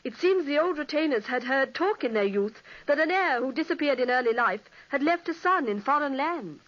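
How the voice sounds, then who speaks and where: High-voiced young woman spinning a ghost tale. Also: lively intonation, brisk, a bit bright. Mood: spooky. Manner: dramatic storytelling. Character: lively young woman telling a ghost legend. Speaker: storytelling sister. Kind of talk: 1940s radio acting. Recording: lo-fi old radio broadcast.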